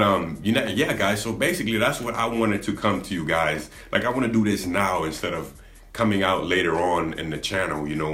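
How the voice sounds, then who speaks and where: Chill young guy w a real deep voice, kinda rambly, echoey room, voice has a bright edge. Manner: laid-back, rambling, restarts often, swears casually. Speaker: gaming YouTuber. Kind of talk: candid vlog talk to camera.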